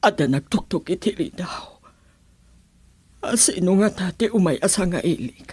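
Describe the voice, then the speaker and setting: A woman's voice: fairly low pitch, animated, unhurried, a little mellow, slight background noise. A woman with a Filipino accent playing a loving, long-suffering mother in an Ilocano radio drama, emotional in her delivery. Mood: puzzled, everyday.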